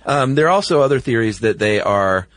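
A man's voice: medium pitch, lively intonation, brisk, fairly bright tone, dry recording, studio quality. An American man chatting casually as a podcast co-host, with informal, laid-back phrasing. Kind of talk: casual conversational chat.

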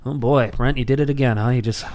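A man's voice: mid-range voice, fast. A man in casual podcast chat, telling a long story in a rambling, profane way and acting out what people said. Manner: profane, rambling, animated storyteller who acts out quotes.

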